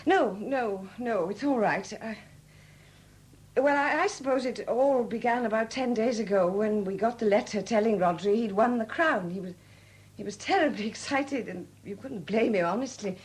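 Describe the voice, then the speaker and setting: An actress in a 1970s radio drama playing a weary, flustered sculptress, newly widowed and proud, speaking candidly in hesitant, halting phrases. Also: female voice, on the high side, close to an everyday voice. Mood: halting, wistful. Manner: hesitant, halting, repeating words. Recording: noisy old 1970s radio recording.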